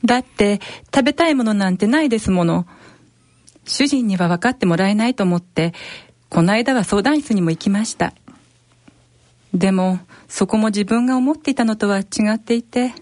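A woman's voice: mid-range voice, normal speed, a little mellow, no room sound, lightly acted. A woman playing an anxious, polite married patient who feels misunderstood, in an amateur radio drama. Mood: anxious, dissatisfied.

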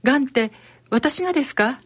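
A woman's voice: high-pitched, animated, fairly quick, a little hiss or hum. A woman playing a shocked, fragile patient in an amateur radio drama. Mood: shocked, distressed. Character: shocked woman patient hearing cancer diagnosis.

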